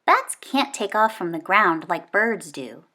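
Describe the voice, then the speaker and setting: A woman reading a children's nonfiction book aloud, expressively and with clear phrasing for young listeners. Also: medium pitch, big pitch swings, a little slow, pushed and bright.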